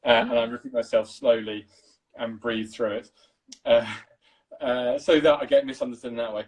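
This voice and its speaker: A man from East London with a posh English accent, chatting casually as a guest cinematographer, mumbling, talking fast and swearing freely. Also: mid-range voice, little pitch movement, a little mellow, slightly rough. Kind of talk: casual chat.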